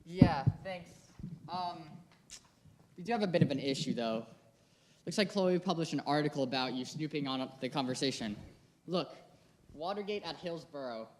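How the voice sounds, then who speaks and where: A man's voice: a little high, expressive, normal speed, pauses often, close to an everyday voice. A teenage boy playing a cautious friend who hesitantly delivers bad news.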